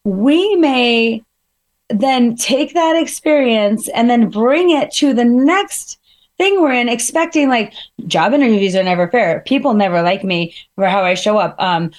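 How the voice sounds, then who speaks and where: A woman with a slightly harsh voice, talking through feelings and examples in a relaxed, reflective conversational way, with frequent fillers. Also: a little high, measured pace. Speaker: guest speaker. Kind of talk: casual conversational advice.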